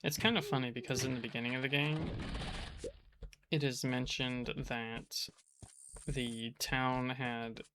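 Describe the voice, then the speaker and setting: A man giving casual gameplay commentary from his apartment, in a flat, restrained voice that he keeps low so he doesn't wake the neighbors, rambling with frequent restarts. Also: unhurried, long pauses, slight background noise. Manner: monotone, kept quiet. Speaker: gaming channel host.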